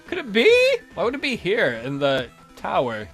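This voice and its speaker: Man putting on a very high, excitable bird attendant. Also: normal speed, soft background music, heavily acted. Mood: awed. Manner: flustered, deferential, easily indignant.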